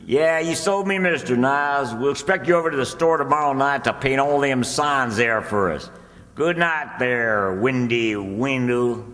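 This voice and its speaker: A man's voice: medium pitch, measured pace, a bit bright, natural voice. A man playing a practical, shrewd, impatient storekeeper in an old-time radio comedy. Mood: amused, sly. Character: Lum, practical, bossy, shrewd rural storekeeper. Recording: echoey hall, long reverb.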